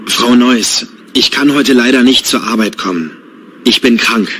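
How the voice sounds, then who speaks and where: A man's voice: medium pitch, a little slow, pushed and bright, a little hiss or hum. A man playing a sick employee politely calling in to work with formal phone talk.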